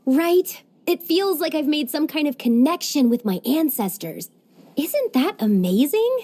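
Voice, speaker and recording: A woman's voice: high voice, very animated, unhurried. A woman voicing an earnest, wistful young woman from a strict tea-farming family in a game cutscene. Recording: soft game music underneath.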